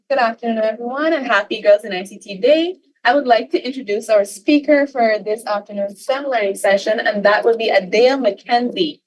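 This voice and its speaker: A young woman with a Guyanese accent acts as session moderator. Her voice is on the high side. She speaks formally as she introduces a presenter. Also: low-quality recording. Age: young adult. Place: online video session.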